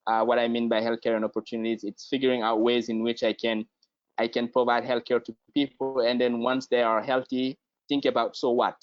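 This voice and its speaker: A man from Guinea, a guest speaking in a halting way and often repeating words as he searches for them. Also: mid-range voice, flat delivery, no room sound. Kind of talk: conversational interview. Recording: dry, slightly dull lo-fi sound.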